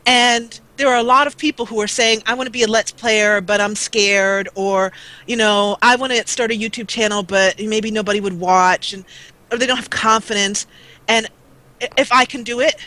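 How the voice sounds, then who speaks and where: A middle-aged American woman talking earnestly on a live show, halting and repeating words as she goes. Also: mid-range voice, steady tone, bright, forceful tone, rough recording. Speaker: guest, gaming video creator. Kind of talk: live talk show conversation.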